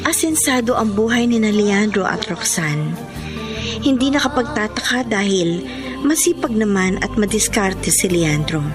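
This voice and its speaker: A woman's voice: mid-range voice, animated, moderate pace, close to an everyday voice. An actress with a Filipino accent playing a wistful, lovelorn woman confiding her secret heartbreak in a radio drama narration. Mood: sad, resigned. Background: music bed.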